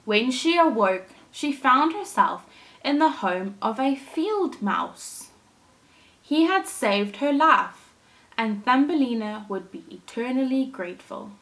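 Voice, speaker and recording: A woman teacher reading a storybook aloud to young children, in a deliberate, expressive way with dramatic emphasis. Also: on the high side. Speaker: primary school teacher reading to her class.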